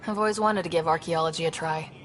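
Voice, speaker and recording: A woman's voice: deep voice, fairly even intonation, fast, lightly acted. Woman as a wry young space explorer. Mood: earnest, wry. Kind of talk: video game dialogue. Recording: clear background noise.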